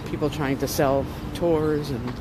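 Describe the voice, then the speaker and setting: A man's voice: on the high side, unhurried. A man chatting casually to viewers on a walking livestream by the water in a city park. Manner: chatty, relaxed, talks to viewers while walking. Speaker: livestream host. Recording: phone stream with loud street noise. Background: city traffic noise.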